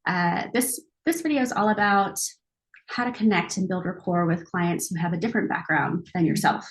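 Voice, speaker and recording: A woman's voice: on the low side, normal speed, poor audio. Upbeat woman hosting a counseling panel. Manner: upbeat, conversational. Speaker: counseling supervisor and host. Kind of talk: panel discussion.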